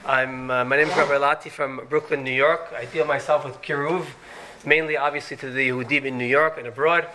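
A man's voice: medium pitch, steady tone, fairly quick, sharp tone, slight background noise. A man born in Syria, a middle-aged rabbi giving an earnest Torah lecture that climbs to emphatic peaks.

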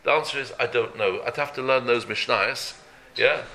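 Bright-voiced man teaching a casual Torah class. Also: mid-range voice, slight background noise, low-quality recording. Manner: halting, repeats words, checks in with "yeah?".

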